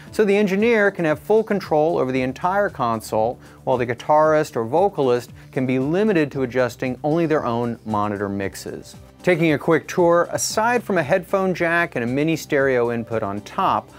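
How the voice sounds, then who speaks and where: A middle-aged man reading a scripted product review as the presenter. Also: a little high. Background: background music.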